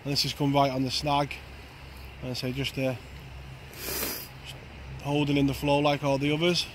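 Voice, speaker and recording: Man, 30s, even monotone riverside fishing commentary, light outdoor noise. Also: medium pitch, moderate pace. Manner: plain, steady running commentary. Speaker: angling vlogger.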